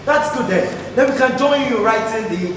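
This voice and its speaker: A man plays an earnest young campus comrade with a Nigerian accent in a stage drama. His voice is very high and distant from the mic, amid clear noise. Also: normal speed. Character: earnest young male student comrade, politically indignant. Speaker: stage actor. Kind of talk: projected stage play acting. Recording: echoey hall stage play, distant mic, noisy.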